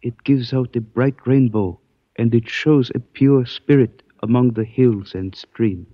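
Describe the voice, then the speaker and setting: A man's voice: mid-range voice, no room sound, close to an everyday voice. A man playing a cryptic, courtly antique dealer. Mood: cryptic, courteous. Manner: stilted formal phrasing, measured and evasive. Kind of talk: 1940s radio acting. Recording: dull dry old radio recording.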